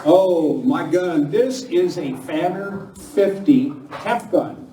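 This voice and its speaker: A man's voice: fairly high pitch, slow, warm tone, slight background noise. An older man doing casual, self-deprecating comic patter as an amateur actor on stage. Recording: echoey room, distant mic, crowd.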